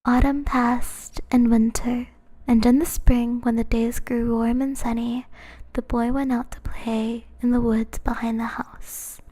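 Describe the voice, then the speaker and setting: A woman streamer reading a children's story aloud in a soft, gentle whisper. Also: a little high, flat delivery, measured pace, low-quality recording.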